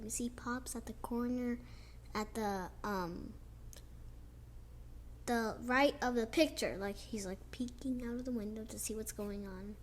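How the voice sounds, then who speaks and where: A young girl reading a children's picture book aloud, slowly and expressively, with chatty asides about the pictures. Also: high voice, fairly bright tone. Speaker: child storyteller.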